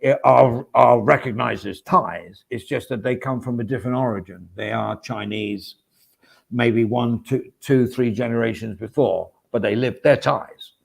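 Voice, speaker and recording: Gravelly guy hosting a stream, chatty and rambly, reads out comments. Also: mid-range voice, measured pace. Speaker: stream host. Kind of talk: casual chat.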